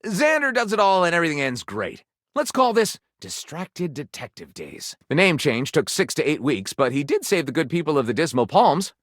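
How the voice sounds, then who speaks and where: A theatrical male storyteller from a video game, narrating with wry relish. His slightly high voice moves through wide, animated swings of pitch. He lingers on titles with dramatic pauses, in a dry studio-clean sound. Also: no room sound. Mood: playful, wry.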